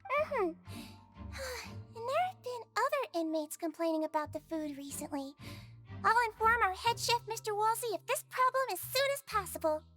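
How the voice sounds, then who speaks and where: Tiny childlike nurse, very high. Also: female voice, very animated, moderate pace, exaggerated voice. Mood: reassuring. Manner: gentle, attentive bedside talk. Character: small, gentle female nonhuman nurse, childlike and caring. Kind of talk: video game dialogue acting. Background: music.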